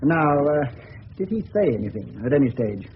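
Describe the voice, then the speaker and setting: An actor playing a sceptical police inspector, probing and sardonic, with an English accent in a radio drama. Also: male voice, a little high, very animated, normal speed, slight background noise. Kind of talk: 1970s radio drama acting. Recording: muffled narrow-band old radio recording.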